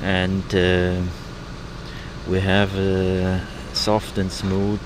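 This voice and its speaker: Older male sailing vlogger, German accent, lowish voice, halting casual explanations, noisy boatyard recording. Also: completely flat delivery. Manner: halting, searching for words. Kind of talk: casual explanation of boat work.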